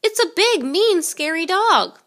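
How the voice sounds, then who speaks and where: A woman voicing a frightened, sneaky burglar in a children's storybook, in a shrill, alarmed voice. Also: sing-song, measured pace, fairly bright tone, heavily acted. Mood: terrified.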